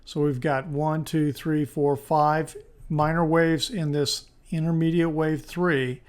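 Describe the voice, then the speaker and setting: A man with a slightly hoarse voice presenting stock market analysis in an informal, tentative way, thinking aloud as he goes. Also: on the high side, steady tone, slow pace. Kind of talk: screen-share market analysis commentary.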